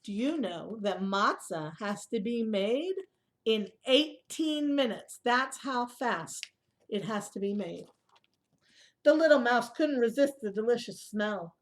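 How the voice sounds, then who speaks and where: A woman reading a picture book aloud to young children in an expressive way, with asides and questions to the listeners. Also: a little high, slow pace.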